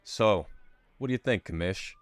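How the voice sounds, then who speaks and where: A man's voice: fairly low pitch, normal speed, lightly acted. A man playing a tough, accusing police detective in an audio drama, speaking in pointed, confrontational questions. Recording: long hall reverb.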